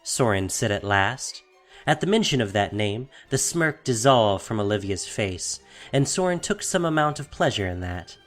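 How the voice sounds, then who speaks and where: A man's voice: on the low side, very animated, moderate pace, fairly bright tone, faint music behind. A man narrating a fantasy audio drama from a script in a dramatic storytelling style.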